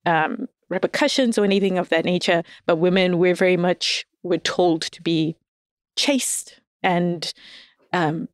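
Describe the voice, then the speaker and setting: A woman's voice: lowish voice, steady tone, a little slow, no room sound, studio quality. A woman as a podcast guest, chatting casually, laughing often and telling stories with animation. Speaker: guest, audio fiction writer. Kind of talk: casual interview chat.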